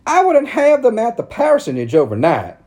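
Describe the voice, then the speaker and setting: A prim, easily scandalized preacher's wife, voiced by a man in a sharp, raised falsetto. Her delivery is clipped and sniffy, with a warm, soft timbre beneath. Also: wildly swooping pitch, moderate pace, full character voice. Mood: indignant.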